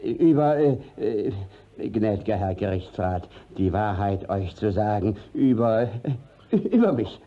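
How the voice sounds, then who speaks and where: Brief: blustering, evasive country judge, drawn-out delivery, dull vintage radio-play sound. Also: male voice, fairly low pitch, very animated. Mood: flustered, evasive. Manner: hesitant, evasive, stammering with fillers. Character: shifty, blustering village judge covering up his misdeeds. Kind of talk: classic German radio play acting. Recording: dull old 1950s radio recording.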